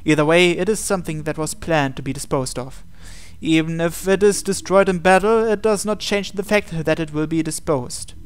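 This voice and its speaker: A man with a slightly high, clean and resonant voice hosts a game playthrough. He reads visual novel narration aloud and adds his own commentary. His delivery is measured, with pauses between phrases. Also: clean tone.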